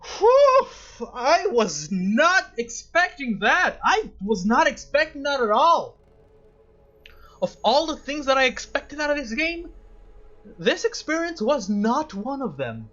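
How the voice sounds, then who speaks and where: A man's voice: extremely high pitch, sing-song, slow, a bit bright, lo-fi sound. A man hosting a casual game playthrough, talking reflectively and often repeating his phrases as he goes. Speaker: gaming video host.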